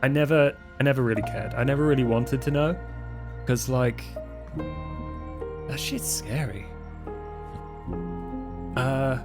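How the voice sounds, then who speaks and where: A man's voice: mid-range voice, natural voice. A man playing a casual young fledgling vampire, slangy and offhand, with hesitant phrasing.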